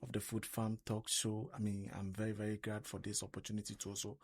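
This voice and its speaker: A man's voice: on the low side, level tone, quick pace, breathy edge. A young man with a Ghanaian accent, talking earnestly on a radio show and often restarting with "I mean". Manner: earnest, repeats words and uses many "I mean" fillers. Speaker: co-host. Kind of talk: casual radio interview.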